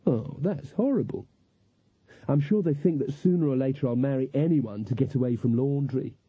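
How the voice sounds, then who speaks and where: A man's voice: medium pitch, normal speed, slightly rough, natural voice. A man voicing a resigned, bitter young woman who speaks in a guarded way, in an audiobook story. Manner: gloomy, guarded. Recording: echoey, narrow phone-like audio.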